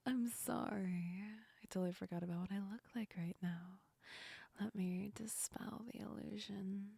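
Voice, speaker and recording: A woman playing a teasing yet comforting female sleep demon in a whispered ASMR roleplay, breathy and soft, speaking close to the ear. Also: mid-range voice, steady tone, unhurried, dry recording. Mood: flustered, apologetic. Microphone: very close ASMR microphone.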